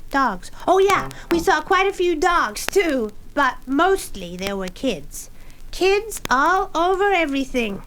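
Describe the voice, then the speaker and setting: A woman's voice: sits high, very animated, slow, heavily acted, low-quality recording. A woman voicing a cheerful, giggly small boy puppet who tells and sings a story for children. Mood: chatty. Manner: giggly, sing-song. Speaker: children's show character. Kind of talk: sung and told story for children. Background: children's music.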